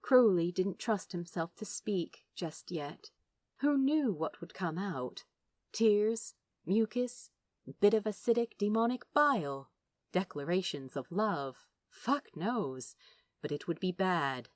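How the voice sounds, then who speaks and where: A woman's voice: mid-range voice, animated, takes it slowly, darkish tone, no echo, clean studio sound. A woman reading fiction aloud as a narrator, in a dramatic, emotive storytelling style. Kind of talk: expressive scripted audiobook narration.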